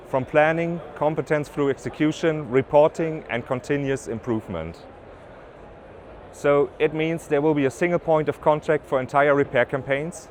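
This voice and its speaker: A man with a German accent giving a trade-fair presentation as a business development manager, with halting phrasing, fillers and restarts. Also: medium pitch, a little hiss or hum. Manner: slightly halting non-native phrasing with ums and restarts. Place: exhibition stand at an offshore wind event.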